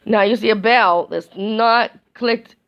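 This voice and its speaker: Hoarse woman vlogger, gravelly, rambling with restarts, voice nearly gone. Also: fairly high pitch, lo-fi sound. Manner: rambling, halting, many restarts. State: hoarse, losing her voice from a sinus infection. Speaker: YouTube vlogger. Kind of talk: casual vlog chat to camera.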